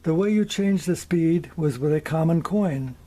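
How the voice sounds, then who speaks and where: An older man in his seventies narrating a scripted demonstration in a measured, methodical way. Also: a little high, warm tone, slight background noise. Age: older, around 70s. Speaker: presenter of vintage electronics. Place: small indoor electronics workshop.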